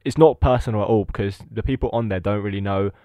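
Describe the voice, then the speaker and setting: A man in his late teens chatting informally, telling stories in a halting, repetitive way. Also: fairly low pitch, dry recording, muffled recording. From Lithuania, living in England. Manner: informal, halting, repeats himself. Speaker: podcast guest, meme page owner. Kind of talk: casual chat, informal storytelling.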